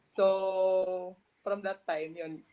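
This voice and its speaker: A woman's voice: medium pitch, little pitch movement, drawn-out words, narrow, tinny audio. A woman with a Filipino accent, a guest on a talk show, answering earnestly with light laughs. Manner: earnest, laughs between phrases. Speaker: guest, new bar passer. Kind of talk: interview answers.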